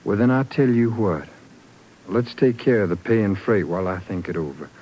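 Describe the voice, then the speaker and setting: A man's voice: lowish voice, moderate pace, dark tone, slightly rough. A man acting a breezy, wisecracking airplane pilot in a 1950s radio drama, talking in jaunty folksy slang. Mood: breezy, guarded. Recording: very noisy old radio broadcast.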